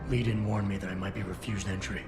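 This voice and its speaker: An actor playing a terse, cool security operative in a video game, speaking in a clipped deadpan. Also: male voice, deep voice, quick pace, close to an everyday voice. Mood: cool, businesslike. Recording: music behind the voice.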